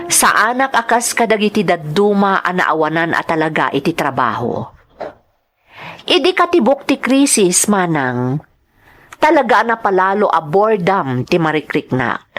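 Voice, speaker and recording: A woman with an Ilocano accent reading a listener's letter as scripted drama narration. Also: on the low side.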